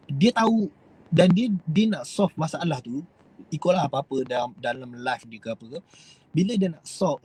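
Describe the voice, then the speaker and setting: A man's voice: on the high side, mellow, husky, low-quality recording. A young Malaysian man with a Malaysian Malay accent, giving a rambling, hesitant motivational talk to his viewers. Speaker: host.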